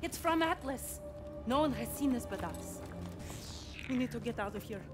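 An actress playing a tough, outraged fugitive soldier in a video game, blunt and urgent. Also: female voice, fairly high pitch, expressive, moderate pace, lightly acted. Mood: angry urgency. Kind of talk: dramatic video game cutscene acting. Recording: dramatic music behind the voice.

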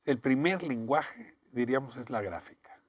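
A middle-aged man, hosting a studio interview with measured, reflective questions. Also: medium pitch, lively intonation, no room sound, lo-fi sound. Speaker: interview host. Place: indoor TV studio set. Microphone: lapel.

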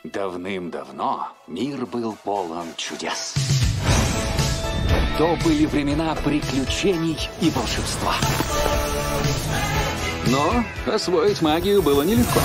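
A man playing a solemn storyteller in an animated film trailer, speaking in a measured, wistful way. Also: a little high. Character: solemn fairy-tale storyteller of lost magic. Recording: loud trailer music underneath.

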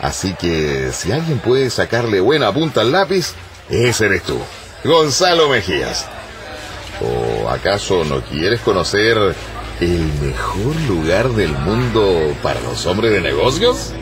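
Pushy newspaper boss, lowish voice that swings around a lot, radio play style. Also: male voice, big pitch swings, slow, full character voice. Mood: insistent, persuasive. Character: insistent, commanding newspaper boss, a man. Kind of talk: radio drama acting.

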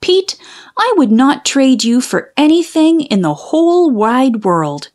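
Woman acting expressive, high-pitched playful little girl. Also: takes it slowly, exaggerated voice. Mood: affectionate. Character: playful, affectionate little girl with a pet porcupine.

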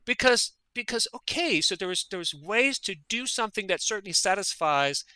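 A man's voice: high-pitched, animated, moderate pace, sharp tone, no echo. A man from Denmark, a veterinary parasitologist, speaking as a guest in an interview. He talks earnestly and often repeats words as he gathers his thoughts. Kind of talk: conversational interview.

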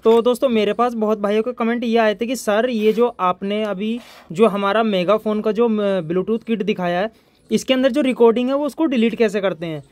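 A man's voice: sits high, steady tone, moderate pace, darkish tone, no echo. A man from India, presenting an informal hands-on electronics tutorial as its host.

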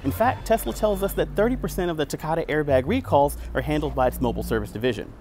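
A man's voice: on the high side, fast. A young man hosting a car show, presenting to camera in an upbeat, conversational way with light jokes, speaking outdoors and from a moving car. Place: outdoors in parking lots and inside a moving car. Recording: voice with music bed underneath. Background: background music.

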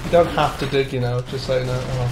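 A young man with a high, light voice, co-hosting a game playthrough in joking, laughing, swearing banter. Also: fairly even intonation, fairly quick, echoey room, noisy background. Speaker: let's play co-host. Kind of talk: casual gaming chat. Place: home room at a gaming desk.

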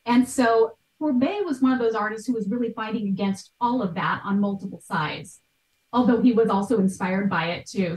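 A woman's voice: mid-range voice, darkish tone. Roomy-sounding woman explaining. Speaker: guest expert, art history podcaster. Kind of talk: conversational explaining in an interview. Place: small bright room at home. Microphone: video call, at some distance. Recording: roomy, distant microphone.

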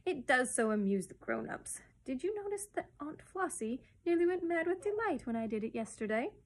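Woman as a cheeky, high-voiced baby boy. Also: very animated, normal speed. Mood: self-satisfied. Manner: bold, self-satisfied.

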